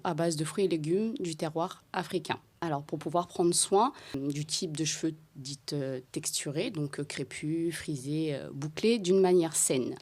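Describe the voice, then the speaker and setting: A woman with a low voice recounts how she came to her work, laughing freely along the way. She talks in a relaxed, animated roundtable style. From Congo. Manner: animated storytelling, laughs often. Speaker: guest, hair care brand founder. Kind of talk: roundtable podcast chat.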